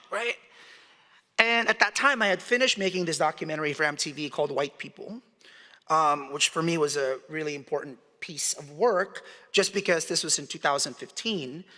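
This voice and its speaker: A man delivers a public lecture in a large hall, and his voice echoes with a long tail. His voice is a little higher than usual and sounds bright and forceful. He talks conversationally, putting questions to the audience and chuckling between points. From Philippines. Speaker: guest speaker.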